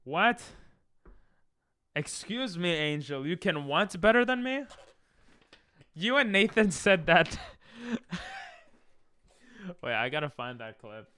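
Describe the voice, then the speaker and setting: A man's voice: on the high side, sing-song, takes it slowly, pauses often, bright, forceful tone, clear, resonant tone. A man whose first language is Hebrew, chatting casually on a game stream, reading chat aloud and breaking into silly freestyle rhymes. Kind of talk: casual live-stream chat while gaming.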